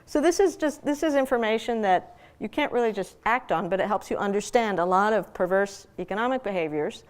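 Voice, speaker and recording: A woman's voice: medium pitch, expressive, fairly quick. A woman from Peru lecturing to an audience as an economist, explaining in a conversational way and often posing rhetorical questions. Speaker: economist giving a public lecture. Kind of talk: academic lecture with examples, speaking to an audience.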